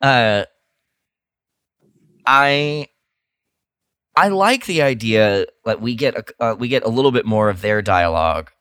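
A man's voice: medium pitch, animated, a little slow, long pauses, fairly bright tone, clear, resonant tone, no room sound, studio quality. A man co-hosting a casual podcast chat, speaking candidly with frequent "like" fillers and laughter. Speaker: podcast co-host.

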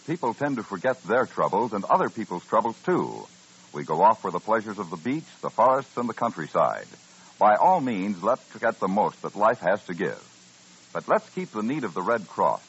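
A man's voice: lowish voice, a bit bright, breathy edge. A man reading a scripted announcement in the style of a 1950s radio announcer. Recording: dull old radio recording.

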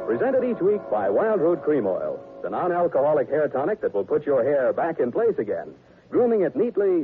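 Animated 1940s American radio announcer, man. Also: medium pitch, husky. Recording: muffled 1940s radio recording, faint music.

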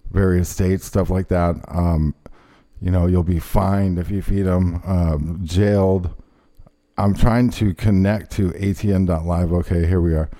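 Brief: male host, rambling indignant rant, low and mellow voice. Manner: rambling, indignant, many fillers. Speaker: show host. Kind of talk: ranting casual chat.

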